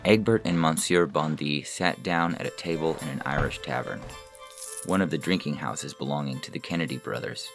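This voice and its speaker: A man's voice: very deep voice, moderate pace, vocal fry. A man reading scripted literary fiction as a story narrator. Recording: music under the narration.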